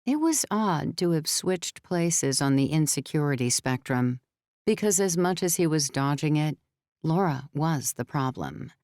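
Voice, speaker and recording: A woman narrating scripted fiction as an audiobook, in a measured storytelling manner. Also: sits low, expressive, darkish tone, no room sound, studio quality.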